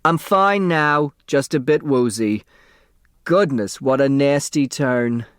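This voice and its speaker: A man's voice: mid-range voice, slow pace, dry recording, studio quality, pitched above the performer's own voice, natural voice. A man voicing a fussy, dramatic, haughty and scornful woman in an audiobook. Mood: woozy, dramatic. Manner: haughty, indignant.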